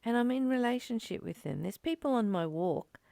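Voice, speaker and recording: A woman giving a spiritual reading and reflection as a teacher, in a deliberate, measured way with emphatic pauses. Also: a little high, expressive, vocal fry, mellow, no room sound. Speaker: study group teacher reading and commenting.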